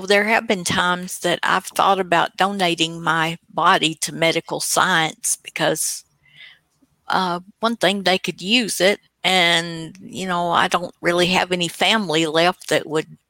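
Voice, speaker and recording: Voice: medium pitch, bright, forceful tone, dry recording. An older co-host chatting casually in a rambling, wry way. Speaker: radio show co-host. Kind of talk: casual chat.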